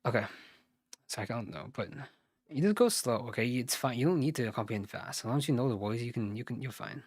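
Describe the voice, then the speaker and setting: A man's voice: mid-range voice, expressive, brisk, no echo, clean studio sound. A man from the United States working through math questions live, thinking aloud, muttering and correcting himself as he goes. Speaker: SAT tutor streaming live.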